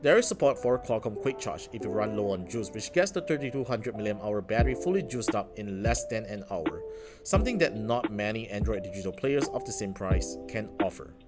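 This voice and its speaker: A man's voice: lowish voice, lively intonation, fairly quick. A man giving an upbeat, casual gadget review, pointing out features as he goes. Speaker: tech reviewer. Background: background music.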